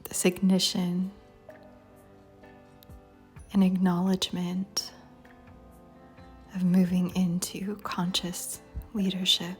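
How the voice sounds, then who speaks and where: Female meditation narrator: low-leaning, near-whispered and soothing, unhurried with long pauses, faint music underneath. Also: monotone. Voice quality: soft, breathy near-whisper. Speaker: meditation guide. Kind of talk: guided meditation. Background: soft music.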